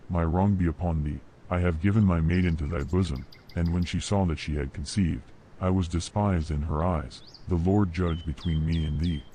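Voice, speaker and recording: A man reads scripture as a narrator in a solemn, measured, formal manner. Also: low voice, dark tone, a little hiss or hum. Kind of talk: solemn scripted Bible reading. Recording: nature ambience behind voice. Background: birdsong and nature sounds.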